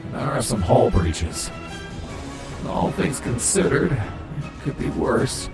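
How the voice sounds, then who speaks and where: Man voicing serious armored leader, slow, gravelly, practical, matter-of-fact, echoey with music, comic dub. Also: mid-range voice, very animated.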